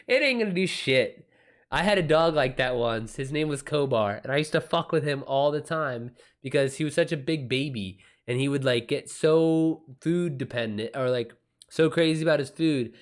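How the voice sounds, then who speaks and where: A man chatting casually as a live streamer, in a slangy, profane and emphatic way. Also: fairly high pitch. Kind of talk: casual livestream chat.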